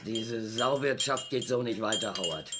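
A woman's voice: very deep voice, moderate pace, background noise. An actress playing a domineering older female cousin in a radio comedy play, commanding, scolding and theatrical. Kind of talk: German radio comedy crime play acting.

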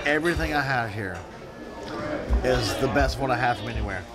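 A man's voice: medium pitch, animated, brisk. A man in his thirties reviewing a restaurant in casual, rambling, emphatic vlog talk, filmed on a handheld camera in a restaurant booth. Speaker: food vlogger. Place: busy restaurant booth indoors. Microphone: handheld camera at arm's length. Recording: loud restaurant music and crowd. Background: loud music and crowd chatter.

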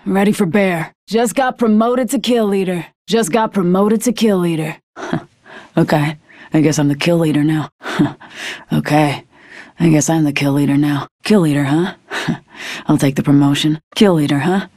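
A woman's voice: deep voice, normal speed, darkish tone, no room sound, clean studio sound. Gung-ho woman soldier. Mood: confident. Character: confident, gung-ho female soldier. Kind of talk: video game voice lines.

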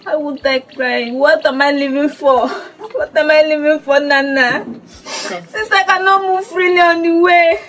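A woman's voice: sits high, normal speed, distant mic, close to an everyday voice. An actress with a Nigerian accent playing a hurt, despairing young woman in a film drama. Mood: crying, despairing.

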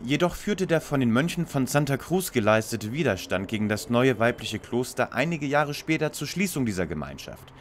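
A mid-range male voice with animated intonation , an actor, plays a know-it-all sleuth in an audio parody. He is formal, polite and a little pompous. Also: medium pitch, normal speed, hardly any pauses, slight background noise, natural voice. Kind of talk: parody audio drama acting.

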